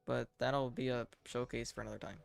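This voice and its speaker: A man giving casual live game commentary in a deadpan, self-deprecating way, muttering asides to himself. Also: mid-range voice, brisk, vocal fry, clear, resonant tone, faint music behind. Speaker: game streamer.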